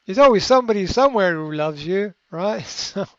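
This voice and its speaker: A man giving unscripted coaching advice in a reflective way, often pausing with fillers and restarting his sentences. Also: high-pitched, animated, a bit bright, no room sound. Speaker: coach answering a question. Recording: dry, slightly muffled recording.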